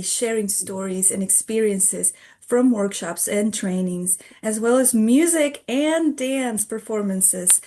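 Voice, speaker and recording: A woman hosting an online event, announcing the program and thanking helpers in a measured way over a video call. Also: a little high, a little mellow. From Costa Rica. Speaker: trainer and co-host.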